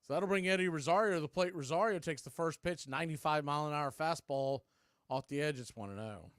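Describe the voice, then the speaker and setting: High-pitched man calling baseball play-by-play. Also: fairly quick, a bit bright, clean tone, dry recording, clean studio sound. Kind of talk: live play-by-play commentary.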